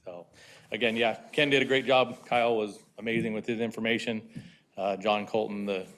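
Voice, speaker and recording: A man representing a port district, speaking loudly and casually with many "um"s and "you know" at a council meeting. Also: fairly low pitch, steady tone, normal speed. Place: council chamber.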